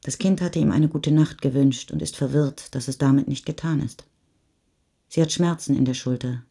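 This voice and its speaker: An actress playing the sober, measured narrator of a post-war chronicle in a German radio play. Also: female voice, extremely low pitch, creaky, dark tone, slightly rough, heavily acted. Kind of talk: literary reading in a radio play.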